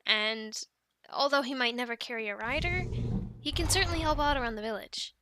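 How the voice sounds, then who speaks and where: A woman's voice: fairly high pitch, brisk, noisy background, natural voice. A woman playing a cheerful young Viking dragon keeper in an ASMR roleplay, chatting affectionately as she coaxes and explains. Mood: friendly, welcoming. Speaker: amateur audio roleplay performer. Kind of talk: ASMR roleplay acting, speaking to the listener.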